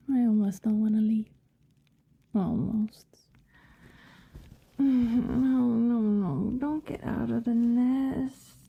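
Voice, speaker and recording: A woman playing an affectionate, wistful vampire neighbour in an intimate ASMR roleplay, speaking softly and tenderly, often in a hesitant whisper. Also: medium pitch, no echo, lo-fi sound, heavily acted. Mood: sleepy, coaxing. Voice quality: soft, breathy, often whispered. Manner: slow, tender, hesitant murmuring.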